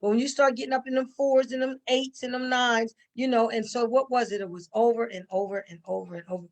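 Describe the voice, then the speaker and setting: A woman's voice: a little high, steady tone, quick pace. A middle-aged woman answering conversationally, telling stories emphatically with repetition and quoted asides. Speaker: stage play cast member.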